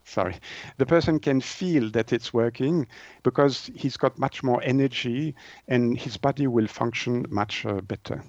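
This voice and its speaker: A man from Switzerland, a native French speaker, explaining things as an interview guest in halting English, searching for words. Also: medium pitch, a little mellow, no room sound, muffled recording. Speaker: naturopath and author, interview guest.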